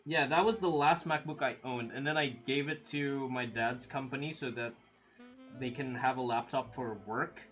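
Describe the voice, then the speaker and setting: A man's voice: mid-range voice, moderate pace, soft background music. A man in his early twenties hosting a stream, chatting casually and answering viewer comments with fillers and frequent laughs. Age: 20s. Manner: relaxed, chatty, laughs often, many fillers. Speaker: livestream host.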